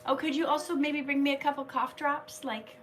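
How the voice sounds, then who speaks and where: A woman's voice: high-pitched, level tone, fairly quick, a bit bright, far from the mic, slight background noise. A woman hosting a casual live stream, chatting with viewers and reading their comments aloud while she has a cold and keeps coughing.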